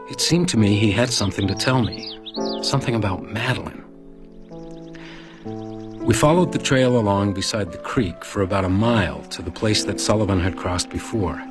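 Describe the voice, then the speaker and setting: A man acting a brooding detective narrator, telling his story in a reflective, measured first-person radio drama style. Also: fairly low pitch, close to an everyday voice. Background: music.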